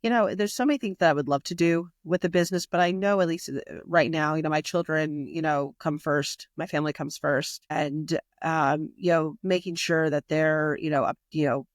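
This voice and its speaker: A woman's voice: sits low, normal speed, few pauses, no room sound, clean studio sound. A woman guest in a casual podcast interview, talking in a rambling way with many fillers and restarts. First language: not Italian. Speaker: magazine publisher and guest.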